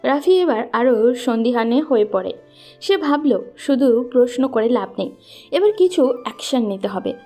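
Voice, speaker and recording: A woman's voice: a little high, lively intonation, measured pace, warm tone, faint music behind. A woman narrating a scripted story as its storyteller.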